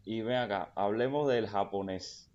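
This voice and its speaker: A man's voice: lowish voice, a little slow, highs cut off, a crowd in the background. A man interviewing a guest in a friendly, casual way.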